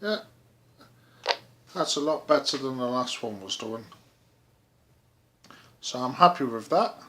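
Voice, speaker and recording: A man giving a casual DIY how-to vlog, speaking haltingly as he thinks aloud. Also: medium pitch, animated, pushed and bright. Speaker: hobbyist presenter.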